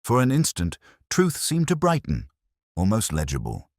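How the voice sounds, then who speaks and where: A man reading a first-person literary detective story in a measured, reflective, formal way. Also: fairly low pitch, huge pitch swings, gravelly, a little mellow, no echo, studio quality. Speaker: narrator of a detective mystery story.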